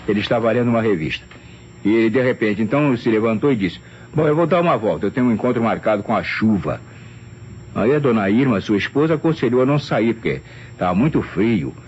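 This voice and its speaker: A man's voice: fairly low pitch, fast talker, natural voice. An actor playing a flustered, deferential private secretary, hesitant and stammering as he testifies in an old radio mystery. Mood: flustered recounting. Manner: hesitant, stammering, tag questions, animated storytelling. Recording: dull, noisy old radio drama recording.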